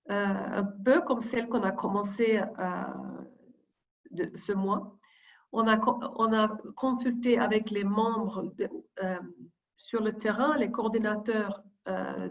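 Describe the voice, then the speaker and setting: A woman reads her prepared opening remarks at a middling pitch, stopping often to restart a phrase. The sound is a muffled, lo-fi video call. She is a deputy coordinator speaking to colleagues. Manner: hesitant, many fillers and restarts while reading.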